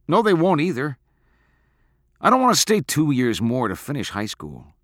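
A man's voice: medium pitch, big pitch swings, normal speed, bright, forceful tone, no room sound, clean studio sound, voice pushed up. A man voicing a bitter, stubborn teenage boy in an audiobook, petulant and aggrieved. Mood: frustrated. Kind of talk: audiobook character acting.

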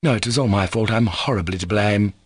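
A man voicing an earnest, gentle young man full of sorrow and self-blame in an audiobook story. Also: lowish voice, a little fast, slightly rough, no echo. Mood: remorseful.

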